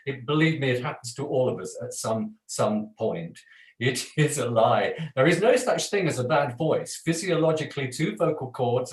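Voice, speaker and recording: A man's voice: mid-range voice, reverberant, poor audio. A man teaching a live voice workshop as a voice coach, talking in a chatty, self-deprecating way and laughing often. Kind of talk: live online workshop talk.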